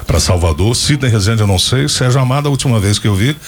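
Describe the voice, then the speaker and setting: A man's voice: mid-range voice, animated, quick pace. A man in his fifties hosting a radio show, chatting casually and rambling off into stories and asides. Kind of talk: casual on-air chat and storytelling.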